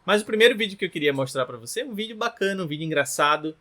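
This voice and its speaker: A man in his thirties with a northeastern Brazilian accent, speaking in a fairly high voice. He teaches in a loose, conversational way and checks in with his listeners at the end of many phrases. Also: expressive, very fast, bright, forceful tone. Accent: Brazilian Portuguese, from Rio Grande do Norte. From Natal, northeastern Brazil. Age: 30s. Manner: chatty, ends phrases with tag questions. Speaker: language teacher. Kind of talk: informal lesson to camera.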